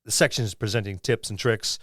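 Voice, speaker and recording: A man's voice: lowish voice, a little fast, a bit bright, no room sound, clean studio sound. A middle-aged man co-hosting a podcast, walking through a gaming book in a rambling, jokey, casual way. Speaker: podcast co-host.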